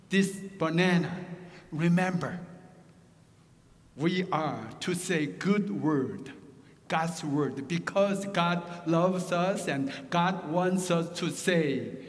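A middle-aged man, a pastor whose first language is Korean, preaching a sermon with a Korean accent, slow and deliberate with joking asides. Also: on the high side, in a large hall.

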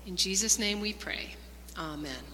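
Bright-voiced woman addressing children in church. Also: mid-range voice, lively intonation, measured pace. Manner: simple, conversational phrasing for children. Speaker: church children's minister leading children's moment. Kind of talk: children's talk and prayer in a church service. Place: large church sanctuary. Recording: reverberant church hall, humming background noise.

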